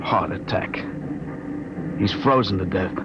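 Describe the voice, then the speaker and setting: A man's voice: low voice, slow pace. An actor playing a grim, concerned spaceship crewman in an old radio drama. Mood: shocked, grim. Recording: very noisy vintage radio broadcast.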